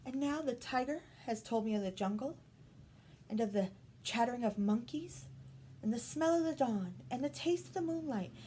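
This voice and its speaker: A young storybook princess, played by a woman reader, quiet and calmly determined. Her voice is soft, hushed and lifted high. There is faint noise in the recording. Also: moderate pace, close to an everyday voice. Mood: calm, resolute. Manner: soft, hushed, higher than the narration.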